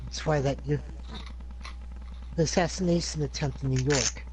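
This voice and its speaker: A man's voice: fairly high pitch, steady tone, moderate pace, darkish tone, slight background noise, poor audio. A man playing in a tabletop game, often repeating himself as he talks. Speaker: player. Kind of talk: in-character talk in a tabletop game.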